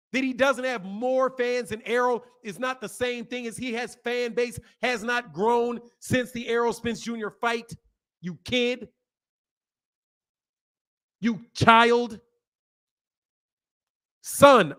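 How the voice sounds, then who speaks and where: A man's voice: extremely high pitch, fairly bright tone. A man in his fifties hosting a boxing channel, scolding and insulting a caller in a heated live argument. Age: 50s. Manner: insulting, scolding, repeats himself.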